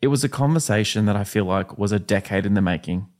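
An Australian man, the podcast host, reading a steady, upbeat episode introduction. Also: lowish voice, fast.

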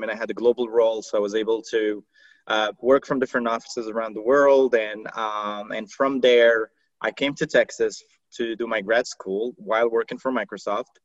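A man from Morocco whose first languages are Arabic and French speaks as a podcast guest. He walks through his career in a relaxed, steady way. The recording is dry and a bit dull and lo-fi. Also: medium pitch, normal speed, no room sound. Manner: relaxed storytelling, steady recounting. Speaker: podcast guest, marketing executive. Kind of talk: casual interview chat.

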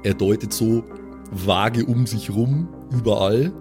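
Guy game master telling the story, lowish voice, suspenseful, music loud underneath. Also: slow pace. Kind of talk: improvised narration of tabletop audio play.

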